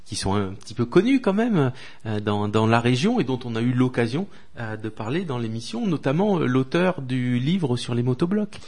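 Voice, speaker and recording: A man's voice: mid-range voice, big pitch swings, normal speed, a little mellow. A male radio host asking guests questions in an easygoing, conversational way, with casual fillers. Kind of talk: interviewer.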